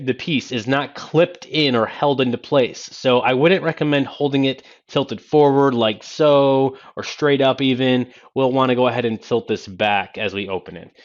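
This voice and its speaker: Man, thirties, casual unboxing host, middling-low pitch for neither effect nor drama, halting conversational delivery, dull Bluetooth headset audio. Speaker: tech video host demonstrating a headset. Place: small home office, indoors. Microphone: single-ear Bluetooth headset. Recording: dull narrow-band Bluetooth headset sound.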